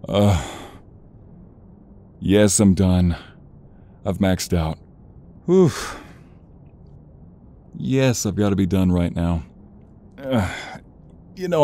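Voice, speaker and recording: Deep-voiced, bright, playful boyfriend acted by a man. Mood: winded, easygoing. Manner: teasing, affectionate, breezy chatter with small grunts. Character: playful, doting boyfriend working out at home gym. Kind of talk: ASMR romance audio roleplay acting.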